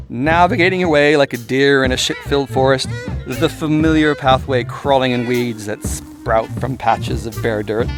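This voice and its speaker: A man reading a self-help audiobook in an irreverent, sweary, chatty way, with emphatic, theatrical phrasing. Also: medium pitch. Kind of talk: scripted narration, second-person self-help monologue. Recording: music behind the voice. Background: musical soundscape.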